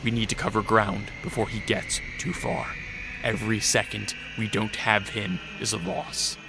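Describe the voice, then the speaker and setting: A man's voice: lowish voice, flat delivery, moderate pace, creaky, music in the background. An actor playing a brooding, stubborn male vampire lord in a radio drama, giving terse, decisive commands. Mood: commanding. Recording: faint background noise.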